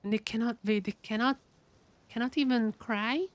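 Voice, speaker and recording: High-pitched woman reacting to a game. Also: normal speed, no echo. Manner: excitable, repeats words. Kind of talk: live reaction commentary, casual chat.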